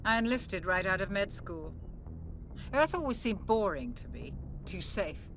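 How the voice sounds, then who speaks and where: An actress playing a seasoned, caring military ship's doctor in a sci-fi video game, measured and gently wry. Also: female voice, mid-range voice, very animated. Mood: warm, wry. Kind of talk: video game dialogue acting. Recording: game music behind the voice. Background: music.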